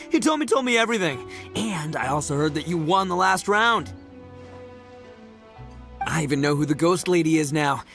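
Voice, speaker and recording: A man's voice: a little high, expressive, moderate pace, vocal fry, pauses often, a bit bright, faint music behind. A man voicing a brash, boastful but good-hearted young gang leader in an anime game, swaggering and slangy. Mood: boisterous.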